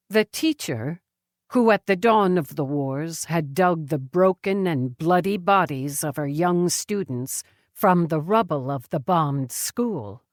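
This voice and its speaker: A woman narrating a novel as an audiobook, in a measured, grave and dramatic way. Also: low voice, no echo, studio quality.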